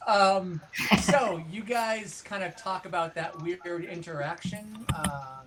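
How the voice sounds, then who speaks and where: A game master improvising narration for an online tabletop game in a conversational, halting way. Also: mid-range voice, noisy background. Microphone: online group call.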